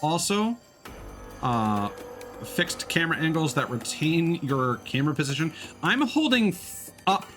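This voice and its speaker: A man's voice: a little high, big pitch swings, a little slow, fairly bright tone. A man giving casual livestream commentary while playing a video game. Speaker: streamer. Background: game music.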